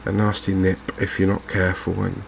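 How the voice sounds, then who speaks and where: A man giving softly spoken, ASMR-style commentary as host of a gaming channel, in a halting, understated way. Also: low-pitched, monotone, creaky, slight background noise.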